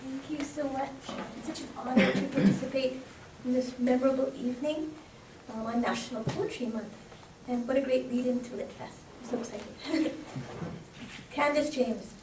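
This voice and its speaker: A woman reading a prepared introduction aloud at a live event. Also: a little high. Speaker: poet introducing the featured speaker. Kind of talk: prepared tribute and biography read aloud. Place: live event room, recorded from a distance. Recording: echoey, distant microphone, faint background noise.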